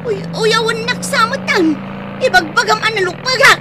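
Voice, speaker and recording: A woman acting a bossy, quick-tempered wife in a Filipino radio drama, with a scolding, sharp manner. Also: very high-pitched, lively intonation, heavy background noise. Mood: scolding, suspicious. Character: bossy, quick-tempered wife who orders her husband around.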